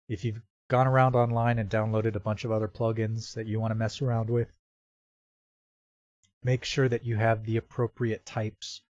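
A man's voice: mid-range voice, a little mellow, no room sound. Man narrating a tutorial, dry, slightly dull mic. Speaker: software tutorial presenter. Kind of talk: casual screen-recorded tutorial narration. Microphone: computer microphone with real-time noise removal.

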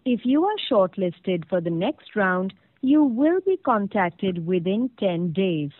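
A woman with an Indian accent reading formal, scripted automated phone prompts in a measured way over a phone line. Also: medium pitch, very animated, no room sound. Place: recorded phone call. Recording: tinny narrow phone line recording.

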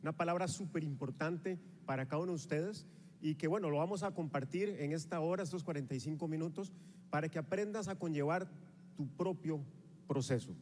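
A man in his forties with a Costa Rican accent, speaking energetically into a handheld microphone as he rallies a live audience. His voice sits high, and it rings out through the long reverb of a big convention hall. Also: fairly quick, a little hiss or hum. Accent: Costa Rican Spanish. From Costa Rica. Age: 40s. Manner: energetic, rallying the audience, walks the stage while gesturing. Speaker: motivational speaker at a sales rally. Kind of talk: motivational stage speech.

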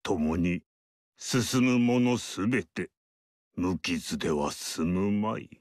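An ageless mystic sage in a video game, calm and aloof, speaking in measured, archaic and lofty phrases. His voice sits low and unhurried, and the recording is dry and close. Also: dry recording. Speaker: game character. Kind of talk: game dialogue acting.

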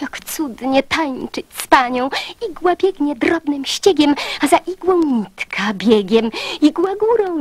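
A woman reciting a poem for children. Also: high voice. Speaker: poetry reciter.

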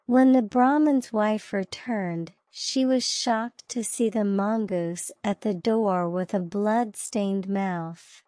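A woman's voice: mid-range voice, slow, few pauses, mellow, no echo, clean studio sound. A woman storyteller reading a scripted children's fable as narration.

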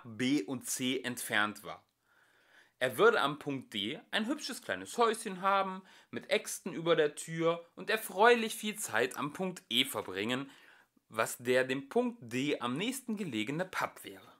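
A young man reading a novel aloud as an amateur audiobook narrator, with lively shifting emphasis. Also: mid-range voice. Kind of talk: storybook narration.

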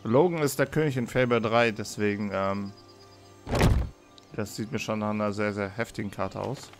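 A man with a slightly hoarse voice giving casual game commentary as a let's play host, thinking aloud and repeating words. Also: on the low side, fairly even intonation, moderate pace. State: hoarse from being under the weather. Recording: music behind the voice. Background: game music.

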